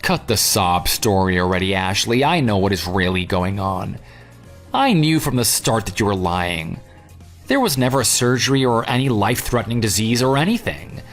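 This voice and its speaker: Sarcastic, animated jilted man, played by an actor. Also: fairly low pitch, normal speed, faint music behind. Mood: accusing. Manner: sarcastic, taunting. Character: vengeful jilted ex-fiancé, sarcastic and coldly smug. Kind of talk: dramatized phone dialogue.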